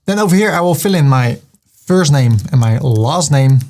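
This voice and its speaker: A man's voice: a little high, lively intonation, moderate pace, darkish tone. A man with a Dutch accent, from the Netherlands, giving a screen-recorded walkthrough step by step and reading the on-screen prompts aloud. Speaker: web tutorial host.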